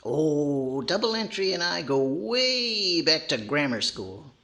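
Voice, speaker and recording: A man's voice: on the high side, sing-song, slow, sharp tone, exaggerated voice. A man playing a cheeky, naive boy ventriloquist dummy who wisecracks and overreacts in 1940s radio comedy style. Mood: glum, wry. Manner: wisecracking, exaggerated reactions.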